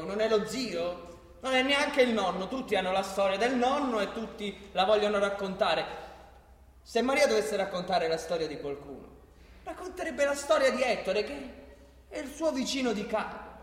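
A man with a Roman accent narrating a radio drama as a theatrical storyteller, expressive and colloquial, with dramatic pauses. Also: sits high. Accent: Roman Italian. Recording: echoey hall with long reverb.

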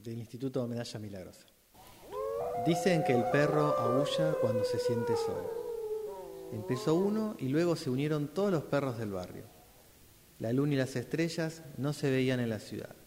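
A man's voice: fairly high pitch, wildly swooping pitch, moderate pace. A man reading a scripted story as a radio drama narration exercise. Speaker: radio drama workshop participant. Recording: reverberant room with clear noise.

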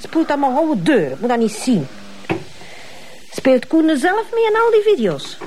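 An actress playing a chatty, cheeky police officer with a Flemish accent, speaking informally in a crime drama. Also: female voice, high-pitched, wildly swooping pitch, measured pace, darkish tone, slight background noise, heavily acted. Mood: curious, cheeky. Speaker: actor in a police drama series.

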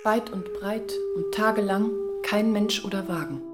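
An actress speaking sober, reflective lines as one voice in a radio play's chorus. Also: female voice, mid-range voice, steady tone, unhurried, close to an everyday voice. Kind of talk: radio play narration. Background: music.

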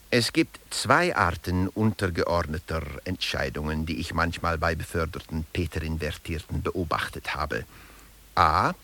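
A man playing a management theorist who lectures wryly and didactically in a radio play, with a gravelly, husky voice. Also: sits low, a bit bright, full character voice. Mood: emphatic, declarative. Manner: dry, didactic, addresses listeners directly.

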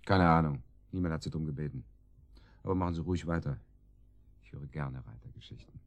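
An actor playing a menacing, mocking kidnapper in a radio drama, with a sarcastic, domineering manner of taunting. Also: male voice, extremely low pitch, a little fast, creaky. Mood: sneering, prying. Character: menacing, mocking male kidnapper, boss of a sidekick. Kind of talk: German radio drama acting.

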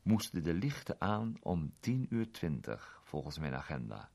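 A man's voice: a bass voice, very animated, unhurried, creaky, a little mellow, full character voice. A man voicing a cheerful, methodical male salesman turned amateur detective who likes quoting mottos. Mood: precise. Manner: precise, fond of quoting mottos. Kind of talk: radio play reading.

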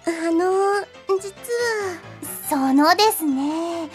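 A woman's voice: very high-pitched, very slow, soft background music, heavily acted. A woman playing a sweet, easily flustered teenage girl in an anime-style audio drama, with a girlish manner. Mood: hesitant. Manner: girlish, hesitant then gushing.

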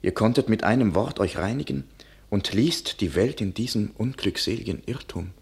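A man's voice: lowish voice, expressive, moderate pace, darkish tone, slightly rough, heavily acted. An actor playing a loyal, earnest companion who pleads with and consoles a young warrior woman, in a classic verse radio drama. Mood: pleading.

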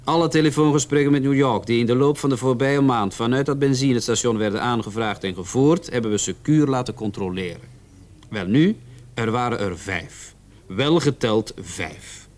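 A distrustful male police interrogator played by an actor, with a middle-pitched voice. He is sarcastic and condescending, mocking the suspect in the manner of a radio drama detective. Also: moderate pace, a little mellow, close to an everyday voice. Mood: stern, condescending.

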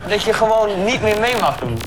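A man's voice: high voice, animated, normal speed, rough recording. A man on a casual, absurd comic rant, repeating himself and acting out imagined exchanges. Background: loud music.

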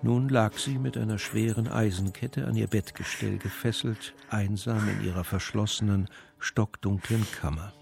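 An actor narrating a suspenseful radio crime story in a measured, serious way. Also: male voice, fairly low pitch, steady tone, vocal fry, darkish tone. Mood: grave, suspenseful. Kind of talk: scripted crime drama narration.

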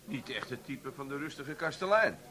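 A brash Amsterdam schemer with a bright voice and very lively intonation. He is cocky and colloquial, a café regular in a radio comedy. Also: medium pitch, moderate pace. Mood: dry. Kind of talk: comic radio play acting.

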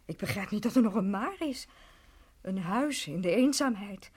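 An actress playing a nosy, disapproving family friend in a radio drama, probing and opinionated in manner. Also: female voice, mid-range voice, lively intonation, normal speed, slightly rough.

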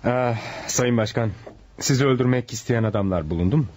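A man acting a brisk, bossy but concerned surgeon in a radio play, excitable and commanding. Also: medium pitch, soft background music, close to an everyday voice. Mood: sympathetic.

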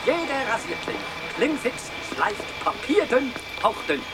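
A radio-play street hawker, drawing out rhyming sales patter in a high, swooping voice. The delivery is slow and showy, with loud music and traffic around it. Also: big pitch swings. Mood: showy, gleeful. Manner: sing-song sales patter. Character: street hawker loudly touting razor blade sharpeners in rhymes. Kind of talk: 1970s German radio drama acting.

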